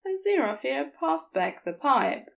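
A woman narrates a fiction story in a fairly high voice. Her reading is slow and measured, with expressive, swooping intonation that suits scripted storytelling. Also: vocal fry. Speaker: narrator and reader.

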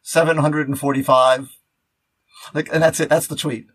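A man's voice: a little high, steady tone, a bit bright, breathy edge. Rambling, casual male podcast co-host. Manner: rambling, halting, repeats words and restarts. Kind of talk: casual unscripted chat.

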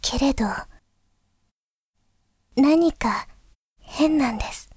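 Woman doing polite gentle princess, high soft voice, formal and earnest, dry studio-like sound, voice drama monologue. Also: slow pace, no room sound. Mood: uneasy, troubled.

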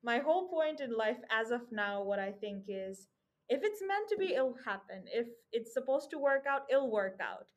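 A woman's voice: on the high side, animated, moderate pace. Upbeat young woman podcast host. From Nepal. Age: young adult. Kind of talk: casual podcast chat.

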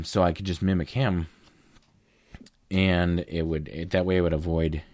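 A young man with a deep voice, casually telling a long story as a guest in a conversation, with fillers and restarts. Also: darkish tone, no echo. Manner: rambling, with fillers.

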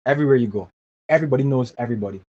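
A man's voice: medium pitch, very fast, a little mellow. A man, speaking earnestly as an interview guest and often repeating words while he finds his phrasing. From Barbados. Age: 20s. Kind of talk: casual interview talk. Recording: dull lo-fi remote audio with faint hiss.

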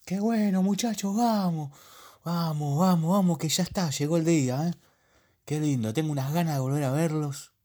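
A man's voice: on the high side, normal speed, mellow, lightly acted. A man playing an eager, impatient middle-aged man who repeats himself in a comic radio play. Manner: repetitive.

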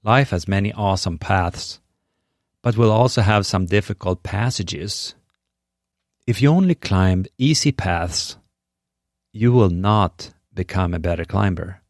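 A middle-aged man from Sweden with a Swedish accent, speaking English as a second language. He hosts a podcast and delivers a scripted monologue in an earnest, measured, encouraging way. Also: low-pitched, dry recording, clean studio sound. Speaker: podcast host.

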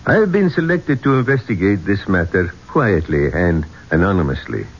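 An actor playing a grave, discreet Egyptian police inspector with formal, guarded phrasing in a radio drama. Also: male voice, low-pitched, sing-song, normal speed. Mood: ominous, measured. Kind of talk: 1970s radio drama acting. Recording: dull, noisy 1970s radio recording.

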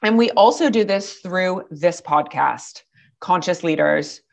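A woman with a slightly raspy voice, hosting a podcast and introducing a guest in a calm, measured way. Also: lowish voice. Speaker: podcast host. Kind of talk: scripted intro then interview.

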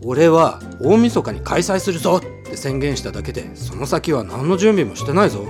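A man's voice: on the high side, big pitch swings, moderate pace, music in the background. A man playing a bossy, irritated middle-aged-to-elderly man who scolds bluntly in a radio drama. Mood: irritated, demanding.

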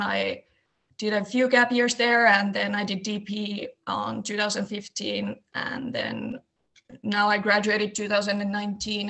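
A woman's voice: mid-range voice, creaky. Young Finnish-accented woman, podcast guest, easygoing storyteller, mid-range voice. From Eastern Finland. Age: 20s. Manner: relaxed storytelling with many fillers. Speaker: guest, basketball coach. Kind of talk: casual podcast interview.

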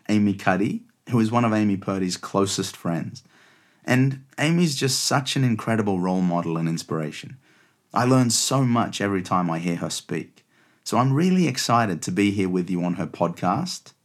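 A man in his thirties with an Australian accent, guest-hosting a podcast with an earnest, reflective monologue. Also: fairly low pitch. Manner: earnest, reflective, tags lines with "right?". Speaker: guest host.

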